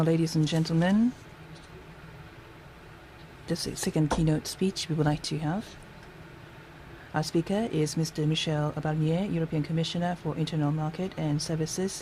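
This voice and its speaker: A man moderating an event, making a formal introduction in a halting, hesitant manner. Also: on the high side, warm tone, low-quality recording. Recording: noticeable background noise at conference.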